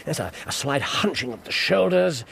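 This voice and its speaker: A man's voice: on the high side, sing-song, normal speed, vocal fry, fairly bright tone, a little hiss or hum, full character voice. A British man in a radio drama playing a shrewd detective who slips into the role of a bumbling, deferential surveyor. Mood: theatrical. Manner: fussy, apologetic patter in disguise, clipped and cryptic otherwise.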